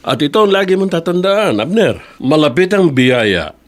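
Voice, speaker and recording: A man acting an authoritative, deliberate office boss in a radio drama, stern yet benevolent. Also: on the high side, sing-song. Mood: kindly. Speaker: actor.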